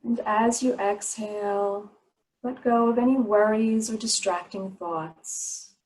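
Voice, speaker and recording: Female meditation guide, medium pitch, soothing and unhurried, pausing between short instructions. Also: fairly even intonation, darkish tone, poor audio. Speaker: yoga nidra meditation teacher.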